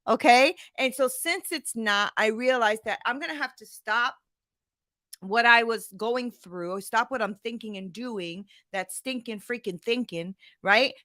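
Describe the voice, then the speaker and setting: A woman's voice: on the high side, pushed and bright, dry recording, clean studio sound. A woman in her forties hosting a conversational motivational monologue, emphatic and blunt, with slangy phrasing and frequent rhetorical check-ins. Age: 40s. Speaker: podcast host and coach.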